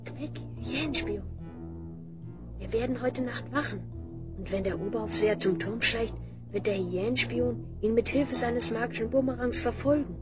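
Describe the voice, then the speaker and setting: A woman performs a young hero in a fantasy audio drama. The voice is a little high, resolute and commanding, with a dry sarcastic edge. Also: moderate pace, loud music behind the voice, close to an everyday voice.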